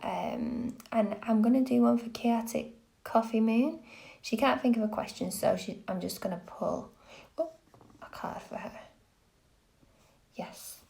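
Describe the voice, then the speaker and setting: A woman reading tarot on a live stream, gentle and soothing, a little croaky from a cold. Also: a little high, a little slow, pauses often, poor audio. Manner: gentle, soothing, lots of okays. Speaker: tarot reader.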